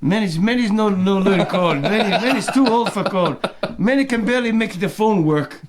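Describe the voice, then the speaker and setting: A man doing a worked-up middle-aged immigrant with a heavy put-on Portuguese accent, riding a high-pitched voice. He rants in broken English and keeps calling himself by his own name, like a comic character monologue. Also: animated, normal speed, noisy background. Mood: ranting. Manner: broken English, talks of himself in third person.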